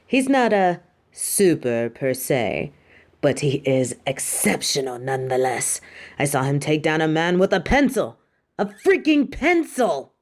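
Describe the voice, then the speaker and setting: A woman's voice: low voice, sing-song, unhurried. A woman playing a vain, imperious superhero costume designer in a theatrical audio roleplay, haughty, dramatic and doting toward the listener. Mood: proud, showy.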